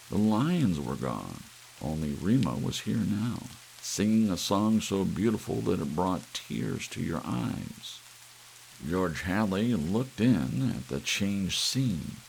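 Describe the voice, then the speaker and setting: A man narrating an audiobook story in an ASMR reading style, with soft rain behind him. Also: low voice, big pitch swings, slow, vocal fry, slight background noise, rough recording.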